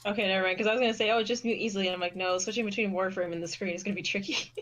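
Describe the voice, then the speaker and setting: A woman chats casually with a group online. Her voice sits at an average pitch, recorded on a home mic with light noise and clicking. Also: steady tone, very fast, fairly bright tone.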